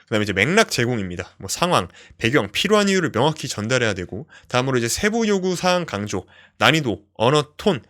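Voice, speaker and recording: A man's voice: mid-range voice, a bit bright. A man presenting an instructional tutorial, explaining things step by step in simple terms. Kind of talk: instructional screen walkthrough, explanatory.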